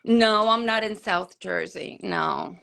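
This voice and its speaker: A woman from Puerto Rico joins a live craft stream as a guest. Her voice has a gravelly, fry-tinged edge, and she chats casually with a self-deprecating, apologetic manner. She is heard through a computer mic on a video call. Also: mid-range voice, slow pace, a bit bright.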